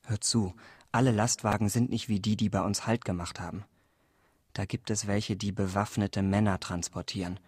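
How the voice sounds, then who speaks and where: Low-voiced, grave male villager. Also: steady tone, moderate pace, darkish tone, slightly rough. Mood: grave warning. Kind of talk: radio drama dialogue.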